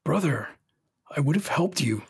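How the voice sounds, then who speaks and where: A man playing a formal, stoic, logical brother holding back grief in an audio drama, husky and precise. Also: fairly high pitch, expressive, normal speed, heavily acted. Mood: restrained.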